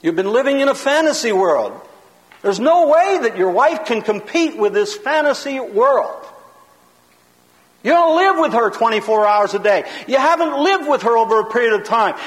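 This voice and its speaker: A man teaching in a loud, emphatic sermon style, his voice building into a preaching cadence. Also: very high voice, lively intonation, moderate pace. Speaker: pastor teaching a seminar. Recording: long hall reverb.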